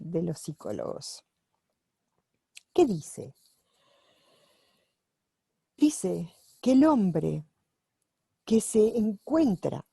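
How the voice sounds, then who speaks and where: Slow, mellow-voiced woman reading aloud. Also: mid-range voice, slightly rough, dry recording. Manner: unhurried and careful, with pauses and self-corrections while reading. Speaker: reader translating a book aloud. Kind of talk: reading a nonfiction book aloud, translating as she goes. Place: at home, with her dog nearby.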